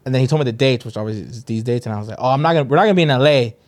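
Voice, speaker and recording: A man's voice: mid-range voice, racing. A young man co-hosting a podcast, telling a story in laid-back slang-heavy casual chat. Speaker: podcast co-host.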